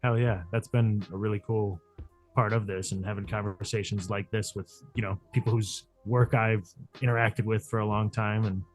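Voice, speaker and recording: A man's voice: on the low side, level tone, normal speed, soft background music. A man hosting a podcast in loose, casual chat, full of "like" fillers and offhand swearing. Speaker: podcast host.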